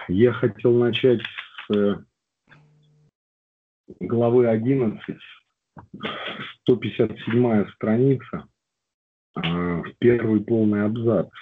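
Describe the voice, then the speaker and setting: A man with a cold reads aloud and then slowly and reflectively tells his own recovery story to a group, with hesitant fillers. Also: lowish voice, slight background noise. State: has a cold. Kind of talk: personal testimony talk. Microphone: video call. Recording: tinny phone-like line.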